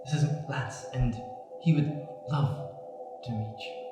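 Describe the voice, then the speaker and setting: A man's voice: mid-range voice, takes it slowly, lightly acted. A man playing an anxious, pleading son who stammers through an improvised comedic radio play. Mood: nervous pleading. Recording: echoey room with music underneath.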